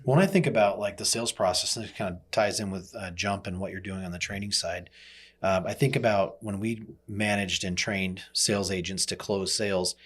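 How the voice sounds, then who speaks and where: Guy hosting a business podcast, lowish voice, explains stuff step by step in a chatty way. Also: a little fast, a bit bright, breathy edge. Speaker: podcast host. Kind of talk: conversational business podcast talk.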